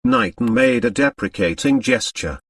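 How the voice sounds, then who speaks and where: Male audiobook narrator for fiction, expressive, varied intonation, mic set slightly back. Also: on the low side, moderate pace, creaky, no room sound, clean studio sound, distant mic. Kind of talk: scripted narration of a novel.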